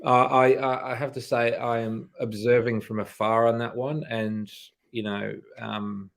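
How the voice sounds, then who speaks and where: A man's voice: medium pitch, flat delivery, measured pace, creaky. A man speaking as a podcast guest and leadership coach in a casual conversation, earnest and emphatic, often restarting his sentences.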